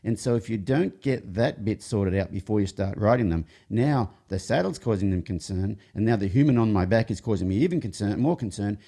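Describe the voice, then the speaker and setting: A man's voice: on the low side, animated, fairly quick, hardly any pauses, dark tone, husky. A man hosting a casual talk, telling rambling horse-training anecdotes and often restarting his sentences. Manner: rambling, self-interrupting, jokey anecdotes. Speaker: horse trainer and show host. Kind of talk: casual conversational storytelling.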